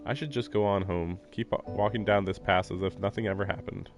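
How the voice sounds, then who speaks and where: A man's voice: on the low side, steady tone, brisk. A man reading a visual novel aloud as a streamer, dropping in casual, wry asides. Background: music.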